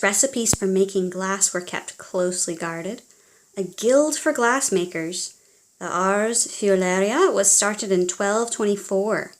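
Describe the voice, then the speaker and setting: A woman reading a children's storybook aloud in a theatrical, expressive way, as if speaking directly to young listeners. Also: medium pitch. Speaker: children's audiobook reader.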